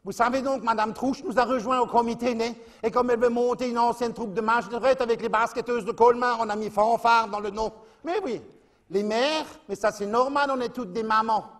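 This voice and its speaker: A man's voice: very high voice, fairly even intonation, a little fast, fairly bright tone. A man playing a chatty middle-aged Alsatian housewife who gossips and confides on the phone, in a comic monologue. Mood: gossiping.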